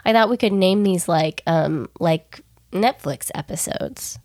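A young woman co-hosting a podcast, soft-spoken and rambling a little, getting over a cold. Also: lowish voice, unhurried, no echo, studio quality. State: recovering from a cold. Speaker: podcast co-host. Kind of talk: casual podcast chat.

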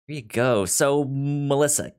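A man's voice: mid-range voice, a little slow, clean tone. A man hosting a casual TV review podcast, who often stammers and repeats the first sounds of words. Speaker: podcast host.

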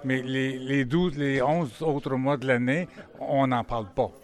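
An older man with a Franco-Ontarian French accent speaks in a measured tone. His voice sits at a middle pitch and stays steady.

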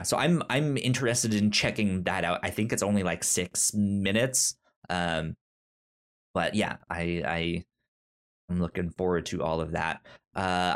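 A man hosting a casual podcast, rambling with stammered, halting restarts. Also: deep voice. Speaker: podcast host.

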